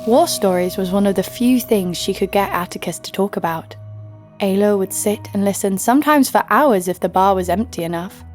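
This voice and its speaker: A woman's voice: medium pitch, normal speed, a little mellow, lightly acted. A woman playing a sassy, snarky heroine who narrates an audio drama story over faint music. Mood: storytelling. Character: sassy, snarky female sidekick-owning storyteller, fond but teasing. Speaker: narrator and lead. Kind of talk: scripted first-person story narration.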